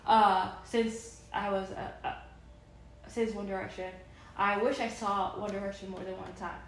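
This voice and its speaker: A woman's voice: mid-range voice, little pitch movement, unhurried, echoey room, slight background noise. A woman in her thirties chatting casually and enthusiastically in a vlog, rambling with many fillers and restarts. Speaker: vlogger.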